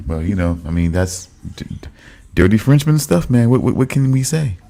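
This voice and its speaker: Low mellow-voiced man joking around. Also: big pitch swings, normal speed, vocal fry. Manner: joking, teasing, repeats himself. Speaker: podcast co-host. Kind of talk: casual chat.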